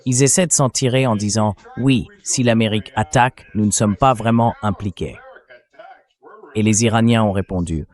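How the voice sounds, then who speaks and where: A man's synthetic voice reading a translated interview as a military commentator, in a steady, explanatory delivery. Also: lowish voice, normal speed.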